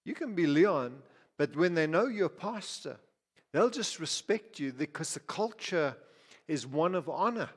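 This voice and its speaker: A man's voice: on the high side, expressive, unhurried. Guy from zimbabwe preaching in church, chatty sermon, stumbles and restarts a lot, big hall echo. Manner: conversational preaching with frequent restarts and asides. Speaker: pastor. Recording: reverberant hall.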